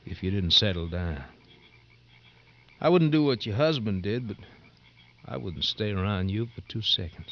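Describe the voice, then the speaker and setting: A man's voice: on the low side, animated, normal speed, pauses often. An actor playing a laconic, stubborn sheriff's deputy talking with his lover in a 1950s radio drama. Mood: dry, teasing. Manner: laconic, terse. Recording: dull, faintly noisy 1950s radio recording.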